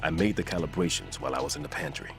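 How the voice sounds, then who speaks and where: Deep-voiced weary bomb expert. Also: male voice, rapid-fire, a bit bright. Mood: focused, grave. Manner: precise, technical, grave. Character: weary older male bomb disposal expert, earnest and self-sacrificing. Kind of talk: dramatic video game voice acting. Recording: dramatic music under the voice.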